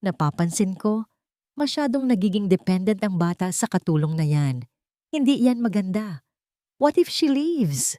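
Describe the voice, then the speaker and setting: A woman's voice: mid-range voice, sing-song, moderate pace, mellow, dry recording, close to an everyday voice. Woman as suspicious man, scheming confidant, insinuating delivery, story narration, dry recording. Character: scheming, suspicious male friend of a rich man.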